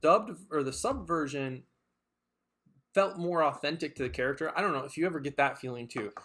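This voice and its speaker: A man's voice: on the high side, a little fast, fairly bright tone. A man co-hosting a casual chat, rambling and hesitant with lots of fillers and restarts. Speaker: podcast co-host.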